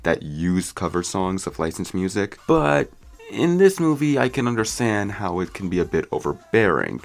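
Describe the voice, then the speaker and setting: A man's voice: fairly low pitch, gravelly. A man narrating a scripted movie review as commentary. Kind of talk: scripted video essay commentary.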